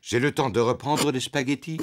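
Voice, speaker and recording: A man's voice: mid-range voice, normal speed, noisy background, close to an everyday voice. An actor playing an easygoing, food-loving father pig in a children's cartoon dub. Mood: genial.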